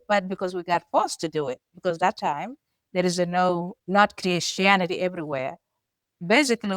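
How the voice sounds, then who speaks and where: A middle-aged woman with a South Sudanese accent tells her own story with earnest, forceful stresses. Her voice is smooth and resonant, recorded close and dry. She talks in an unhurried, personal way. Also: mid-range voice, no room sound. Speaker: co-host.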